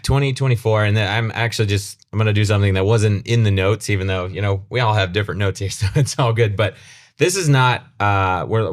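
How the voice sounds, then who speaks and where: Fast-talking, low-voiced moderator man. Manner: quick, joking, loose with the notes. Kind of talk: casual panel talk.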